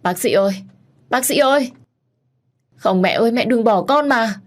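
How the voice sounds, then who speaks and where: A woman's voice: low voice, a little fast, darkish tone, slightly rough. A woman voicing a grieving, pregnant young daughter in a pleading, tearful delivery, in a dramatic audio story. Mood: anguished.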